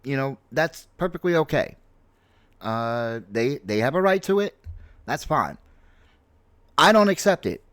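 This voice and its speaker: A man in his late forties from New York City with an American accent, giving an unscripted personal opinion in a halting, reflective manner and repeating himself for emphasis. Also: medium pitch, expressive, a bit bright, no room sound. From New York City, United States. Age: late 40s. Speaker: streamer, content creator.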